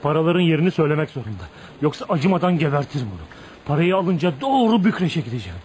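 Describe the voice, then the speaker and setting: Tormented, brooding outcast, higher-voiced. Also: male voice, big pitch swings, quick pace, a little mellow. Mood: defiant, vengeful. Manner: dramatic, emotional delivery. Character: tormented, bitter 35-year-old outcast man, brooding and volatile. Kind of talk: radio play acting. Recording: noisy radio drama recording.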